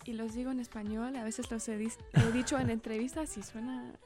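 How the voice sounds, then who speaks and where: A woman's voice: fairly high pitch, little pitch movement, fairly quick, over music. A young woman from California speaking Spanglish-tinged Spanish with an American English influence, giving earnest, reflective answers as a singer-songwriter in an interview. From California, United States.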